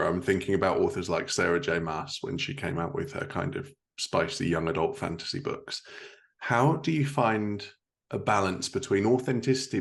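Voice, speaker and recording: A man hosts a podcast interview in a conversational style. His voice sits low and has a strong gravelly creak as he responds and sets up questions. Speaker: podcast host.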